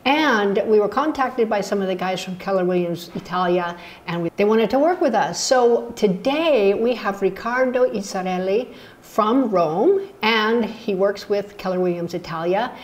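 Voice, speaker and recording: A woman's voice: medium pitch, normal speed. A middle-aged woman hosting a travel channel, interviewing a guest on a video call in an upbeat, enthusiastic way. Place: indoor room. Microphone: laptop camera on video call.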